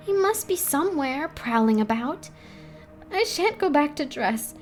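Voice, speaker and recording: A high, girlish voice acted out by a woman reader for a timid, excitable young ballet dancer in a storybook. She chatters on with breathless, superstitious insistence, like an eager gossip, over soft background music. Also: unhurried. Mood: anxious urging.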